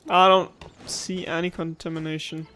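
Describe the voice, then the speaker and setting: A man's voice: on the high side, flat delivery, moderate pace, bright, forceful tone. A man giving casual let's-play commentary, musing aloud and rambling with playful complaints. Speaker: gaming streamer. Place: own room at home. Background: game music.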